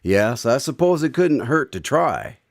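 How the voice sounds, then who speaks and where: A man's voice: medium pitch, very animated, unhurried, creaky, dry recording, clean studio sound. A man hosting a radio drama in a scripted style, chatting about the story and reading the credits. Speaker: radio drama host and announcer. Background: music under the voice.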